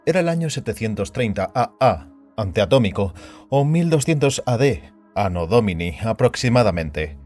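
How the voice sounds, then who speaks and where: A man narrating an audio story in a scripted, dramatic style with a measured, solemn storyteller's delivery. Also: lowish voice, lively intonation, a little mellow. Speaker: narrator and host of an audio fiction show. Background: background music.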